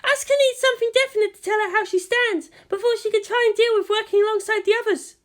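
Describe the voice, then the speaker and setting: A woman's voice: extremely high pitch, fairly even intonation, fast talker, voice pushed up. A woman voicing an eager, shy young female tech assistant in an anime story, breathless and deferential. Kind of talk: anime fan fiction character voice.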